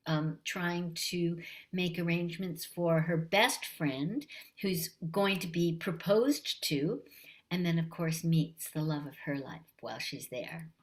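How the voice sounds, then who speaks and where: Light-voiced, warm woman. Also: lowish voice. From Canada. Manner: warm, friendly, unhurried. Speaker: voice actress guest. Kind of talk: casual interview.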